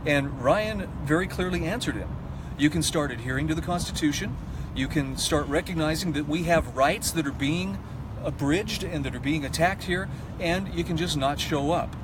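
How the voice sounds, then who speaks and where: A man's voice: fairly high pitch, moderate pace. A man giving an informal spoken news update with opinion, explaining things earnestly. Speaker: court trial commentator giving updates. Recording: clear outdoor street and traffic noise.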